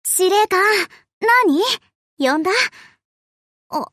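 Very high, boyish anime ship girl. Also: extremely slow, fairly bright tone, no room sound, clean studio sound, heavily acted. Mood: happy, eager. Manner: playful, giggly, casual tomboyish speech. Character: cheerful, boyish, affectionate young destroyer ship girl. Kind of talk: anime game character voice lines.

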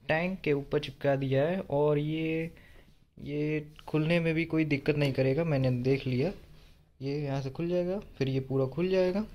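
A young man with a somewhat high voice talks to his viewers casually. He explains a do-it-yourself trick step by step, recorded on a phone at home. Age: 20s. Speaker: motovlogger. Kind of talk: casual how-to vlog talk to viewers.

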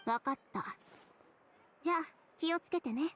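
A cool, headstrong young designer. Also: female voice, sits high, normal speed, fairly bright tone, a little hiss or hum. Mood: cool, composed. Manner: curt, composed, firm. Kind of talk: Japanese game voice acting.

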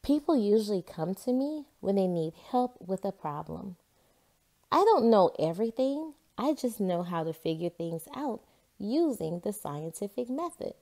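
A woman's voice: mid-range voice, very animated, moderate pace, warm tone. A woman voicing a confident, curious girl scientist who explains and questions in a children's storybook. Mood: proud. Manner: assured, inquisitive, explaining.

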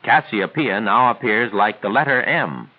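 A man's voice: on the low side, animated. Tinny 1940s male film narrator. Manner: measured, formal, didactic. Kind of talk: scripted 1940s instructional narration. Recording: tinny band-limited 1940s film soundtrack.

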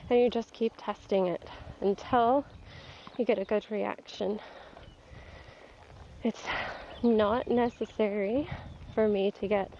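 A woman's voice: medium pitch, a little hiss or hum. Stuffy woman coaching from the saddle. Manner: calm, coaching, talks while riding. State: stuffy, getting over a cold. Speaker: dressage rider and trainer. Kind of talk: explaining her training while riding. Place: outdoor riding arena. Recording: dull lo-fi outdoor sound. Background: faint outdoor ambience and horse.

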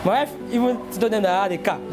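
A man's voice: high-pitched, very fast, loud music behind the voice. A young Nigerian man, a shoemaker, chatting in an interview in a shoe showroom and playfully acting out his own lines as he tells his story. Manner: playful, quotes himself in dialogue. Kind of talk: casual interview chat.

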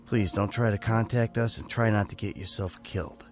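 An actor playing a weary, sardonic middle-aged American criminal in a video game, with a dry way of talking. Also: male voice, fairly low pitch, steady tone, moderate pace, music in the background, close to an everyday voice. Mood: somber, reading aloud. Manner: dry, sarcastic. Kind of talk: video game cutscene acting.

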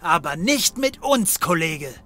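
A man's voice: a little high, big pitch swings, measured pace, sharp tone, full character voice. A man playing a cocky occult detective in a comedy audio drama, wry and full of bravado. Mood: defiant. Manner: wry, cocksure. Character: cocky male occult detective, fear hidden under bravado.

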